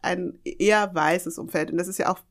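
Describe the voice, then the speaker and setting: A woman's voice: on the low side, steady tone, moderate pace. A woman in her thirties, a podcast guest, speaking reflectively and emphatically, dropping English phrases into her talk. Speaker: interview guest. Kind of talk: podcast conversation.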